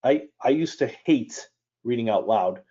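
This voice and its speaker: A man's voice: mid-range voice, animated, a little slow, mellow, husky, dull audio. A middle-aged man from Chicago whose first language is Polish, leading a live online reading class in a conversational way, asking his audience questions and making light jokes. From Chicago, United States. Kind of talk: live webinar instruction. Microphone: personal mic on a webcam live stream.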